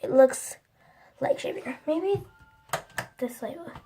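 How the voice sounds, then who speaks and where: A woman's voice: high-pitched, measured pace, warm tone, soft background music. A teenage girl vlogging into her phone, rambling with lots of likes and restarts, sounding congested from a runny nose. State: stuffy, congested from a cold. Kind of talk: casual chat to camera.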